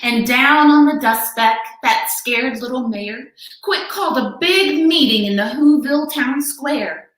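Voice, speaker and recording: Librarian lady doing storytime, slow n expressive, bright high voice, big room echo. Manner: dramatic, expressive, emphatic. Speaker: children's librarian. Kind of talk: animated storybook read-aloud. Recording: very echoey room.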